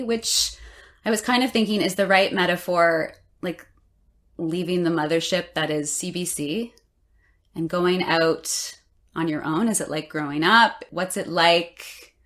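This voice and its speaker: A woman's voice: lowish voice, a bit bright. A woman, hosting a podcast with both scripted introductions and conversational interviewing. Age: 40s. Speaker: podcast host.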